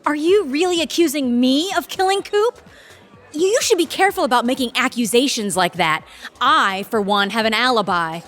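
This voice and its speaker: A woman's voice: sits high, expressive, normal speed, fairly bright tone, soft background music. A woman playing an intense, bitter, ambitious lawyer and boss in an audio drama, sardonic and emphatic. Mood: defensive, accusing. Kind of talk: audio theatre acting.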